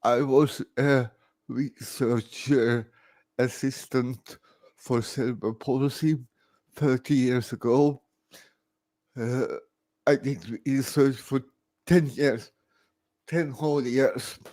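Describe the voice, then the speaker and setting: Very slow, halting man. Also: mid-range voice, fairly even intonation, dark tone, no room sound. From Sweden. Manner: halting, short broken phrases. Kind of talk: live personal talk to camera.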